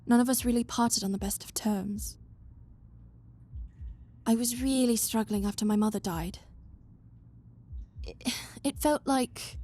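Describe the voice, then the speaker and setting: A woman's voice: fairly high pitch, a little mellow. A woman voicing a stern, bossy but caring young woman who scolds someone she cares about. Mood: remorseful. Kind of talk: fan audio roleplay.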